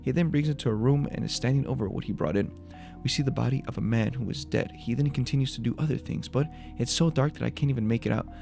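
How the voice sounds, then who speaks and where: A man's voice: mid-range voice, fast, gravelly, a little mellow, soft background music. A man giving a scripted breakdown of a horror video as its creator, in voice-over narration.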